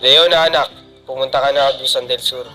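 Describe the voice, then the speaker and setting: A man's voice: mid-range voice, fairly even intonation, brisk, sharp tone, faint music behind. A man playing an indulgent, protective middle-aged father in an amateur radio drama, with a Filipino accent. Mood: instructing. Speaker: student radio drama actor.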